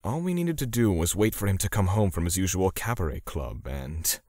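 A man playing a cold, righteous torturer for hire who narrates a manga dub in a measured, grim way. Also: deep voice, expressive, mellow, no echo, studio quality. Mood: grim, matter-of-fact.

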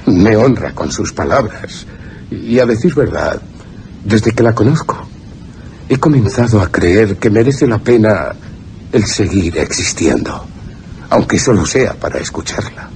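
An actor playing a seductive, scheming aristocratic vampire in a radio horror drama, suave and theatrical. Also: male voice, on the low side, expressive, unhurried, darkish tone, slight background noise. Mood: suavely seductive. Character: sinister, seductive aristocratic male vampire, falsely tender schemer. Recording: prominent music under the voice.

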